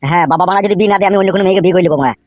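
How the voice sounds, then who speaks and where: A man's voice: high-pitched, rapid-fire, no room sound, lightly acted. A man voicing a foul-mouthed young cartoon villager, complaining crudely and emphatically. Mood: indignant. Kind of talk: comic Bengali cartoon dialogue.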